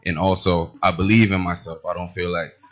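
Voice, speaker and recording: A man from Boston, a DJ, answering interview questions in a relaxed, matter-of-fact way. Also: low-pitched, brisk. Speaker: guest DJ.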